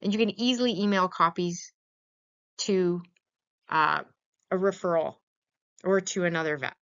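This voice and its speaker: A woman's voice: fairly low pitch, a little slow, a bit bright, clear, resonant tone. A woman teaching as a lecturer in an informal, conversational way, often checking in with her students through short questions. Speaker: lecturer teaching veterinary radiography. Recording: dull, lo-fi voice-over recording.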